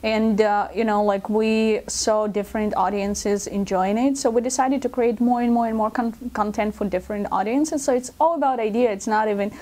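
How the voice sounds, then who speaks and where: A woman's voice: a little high, steady tone, moderate pace, hardly any pauses, warm tone. A woman from Russia with a Russian accent, talking as a company executive in a promotional interview, often repeating words and leaning on filler phrases. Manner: hesitant phrasing with frequent "you know" and word repetitions. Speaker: company business development executive being interviewed.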